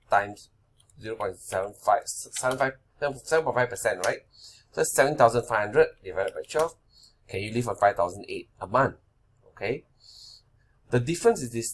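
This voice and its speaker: Man in his forties, husky voice, talks through slides in a loose, repetitive way, keeps asking "right?". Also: lowish voice. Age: 40s. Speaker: finance presenter. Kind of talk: casual slide-based money lesson.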